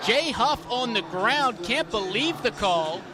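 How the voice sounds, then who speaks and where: A young American man doing sports broadcast commentary in clipped, energetic phrases, as an announcer and host. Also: very high voice, takes it slowly, a crowd in the background. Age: young adult.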